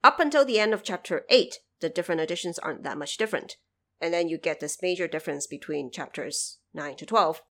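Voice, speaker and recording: A woman's voice: on the low side, expressive, brisk, a bit bright. A woman hosting a podcast, giving scripted explanations with conversational asides addressed straight to the listener. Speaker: podcast host.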